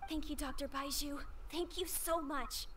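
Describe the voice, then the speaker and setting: A woman's voice: high-pitched, steady tone, moderate pace, recorded from a distance, noisy background, lightly acted. A woman voicing a timid, earnest, worried young child in a video game. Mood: grateful.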